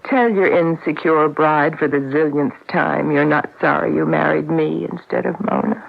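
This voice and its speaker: A woman's voice: sits low, fairly even intonation, normal speed, creaky, full character voice. An actress playing a witty, insecure wife in a radio drama, teasing and dramatic in her delivery. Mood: loving, wry. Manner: wry, dramatic, affectionate teasing. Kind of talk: old-time radio drama acting. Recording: muffled vintage radio drama.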